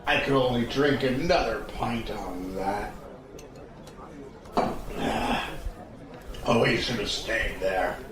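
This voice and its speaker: An actor playing a blunt, fatalistic, world-weary soldier back from duty in an audio drama. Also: male voice, medium pitch, takes it slowly, long pauses, lightly acted. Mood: weary, cynical. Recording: distant, very echoey, crowd noise behind. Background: pub crowd chatter.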